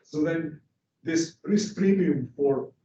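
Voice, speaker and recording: A man's voice: fairly high pitch, slow pace, dark tone, a little hiss or hum. A man from Serbia, giving an economics lecture in an emphatic way and posing rhetorical questions to the class. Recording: distant, echoey, dull classroom recording.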